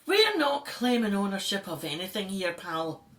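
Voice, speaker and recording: A woman's voice: mid-range voice, big pitch swings, normal speed, a bit bright. A woman in an audio drama playing a feisty, assertive archaeologist who leads her team. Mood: defiant. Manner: assertive, indignant. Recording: echoey, roomy sound.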